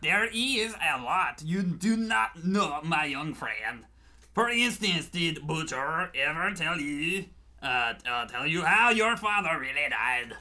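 A man's voice: fairly high pitch, lively intonation, takes it slowly, bright, forceful tone, heavily acted. A man playing a pompous, vengeful French meter-man villain with theatrical delivery in a comic radio play. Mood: gloating menace. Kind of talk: comic parody radio drama.

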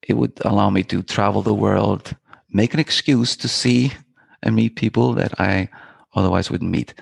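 Middle-aged man from Iceland, gravelly low voice, meandering poetic interview talk. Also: fairly even intonation, normal speed, no room sound. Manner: meandering, poetic, many fillers and restarts. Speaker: filmmaker guest.

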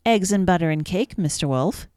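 A woman's voice: on the low side, lively intonation, normal speed, a little mellow, clean tone, no echo, clean studio sound, lightly acted. Woman voicing a polite, innocent storybook little girl. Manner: polite, trusting.

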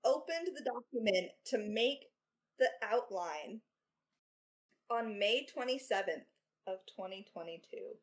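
A woman's voice: fairly high pitch, expressive, unhurried. A young woman speaking as a writer in a casual podcast chat, informal and jokey in manner. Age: young adult. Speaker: lead writer and voice actor answering questions.

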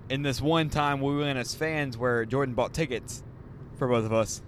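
A man's voice: mid-range voice, moderate pace. Joking man podcasting from a car. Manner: joking, rambling. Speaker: podcast host. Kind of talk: casual chat. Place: moving car. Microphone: handheld mic passed around. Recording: road noise from a moving car.

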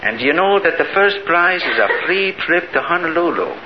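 A man's voice: on the high side, animated, moderate pace, natural voice. An actor playing a genial, chatty neighborhood policeman in a 1950s radio comedy, folksy and hearty. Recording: dull, hissy 1950s radio recording.

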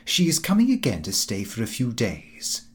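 Guy reading an old comic diary audiobook, kinda fussy and proper, pitch moves around a lot. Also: huge pitch swings, moderate pace. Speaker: audiobook narrator. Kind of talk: scripted narration of a comic diary novel.

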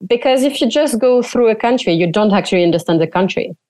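Young French woman, animated interview guest, dry close sound, filler-heavy talk. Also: fairly high pitch, fast, no echo. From France. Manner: animated, frequent "like" fillers, laughs often. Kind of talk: casual interview chat.

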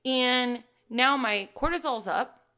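A woman in her thirties, a fitness coach hosting her own podcast, talking to listeners in a casual, emphatic and animated way. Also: fairly high pitch, takes it slowly. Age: 30s. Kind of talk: casual solo podcast talk.

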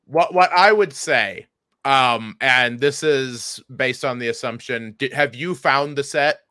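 A man's voice: medium pitch, unhurried, bright, forceful tone, no echo, clean studio sound. A man who co-hosts a comedy podcast, chatting casually, stammering over repeated words and swearing freely. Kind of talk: casual chat.

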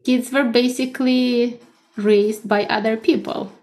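A woman's voice: fairly high pitch, steady tone, unhurried, darkish tone. A woman in her thirties with a Russian accent, answering conversationally as a podcast guest and often checking in as she explains. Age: 30s.

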